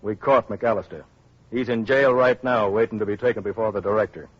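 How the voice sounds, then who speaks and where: An actor playing a brisk male camp policeman in a radio drama, clipped and official. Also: on the low side, steady tone, slightly rough, dry recording. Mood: businesslike. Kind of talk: 1950s radio drama acting. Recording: muffled old radio recording.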